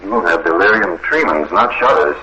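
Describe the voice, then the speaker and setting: Casting: no-nonsense American husband, lowish voice, dry and practical, old-time radio mystery, noisy recording. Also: male voice, expressive, normal speed, dull audio. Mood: matter-of-fact. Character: practical, gruffly reassuring husband in a spooky castle. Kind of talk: vintage radio drama acting.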